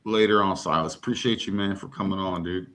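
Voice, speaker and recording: A man hosting a live stream, sounding tired, loose and quick to laugh. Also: deep voice, steady tone, a crowd in the background. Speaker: host. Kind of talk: casual chat.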